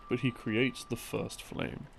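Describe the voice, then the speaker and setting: A man telling a rambling story as a sleep aid, in a halting, musing way with pauses, in the role of a boyfriend explaining things. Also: mid-range voice, level tone, poor audio. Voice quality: creaky, gravelly. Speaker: audio roleplay creator playing a boyfriend. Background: background music.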